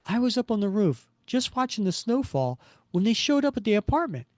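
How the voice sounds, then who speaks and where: A man acts out an anxious young woman from a crime comic, pitched high. She sounds reproachful and scared, her tone rising and falling sharply. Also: big pitch swings, a little fast, darkish tone, dry recording, studio quality. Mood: distressed reproach.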